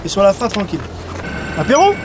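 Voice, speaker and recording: A man's voice: sits high, huge pitch swings, a little fast. A man vlogging from a desert rally car, chatting and joking loosely, with a rambling, playful manner. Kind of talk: casual joking commentary. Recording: loud engine and road noise.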